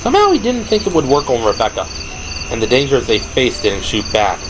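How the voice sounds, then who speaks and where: A man's voice: mid-range voice, wildly swooping pitch, fairly quick. A man reading scripted, dramatic audiobook narration as the narrator of an audio drama. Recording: noisy, night insect ambience behind. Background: crickets and ambient sound effects.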